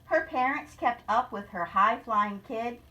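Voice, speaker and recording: A woman reading a rhyming children's picture book aloud in a deliberate, expressive storytelling manner, like a teacher. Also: a little high, far from the mic, dull audio, slight background noise.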